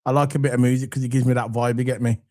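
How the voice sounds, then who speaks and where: A man hosting a casual football live stream, croaky from a cold, loose and chatty with lots of ums as he talks to the chat. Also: medium pitch, level tone, very fast. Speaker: football channel host. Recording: faint background music under voice. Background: soft background music.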